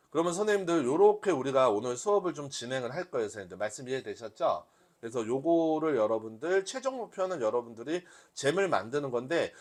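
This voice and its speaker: A man giving a live demonstration as a workshop instructor, talking conversationally and often posing questions that he answers himself. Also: medium pitch. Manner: conversational teaching, asks and answers own questions. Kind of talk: live software demonstration to a class.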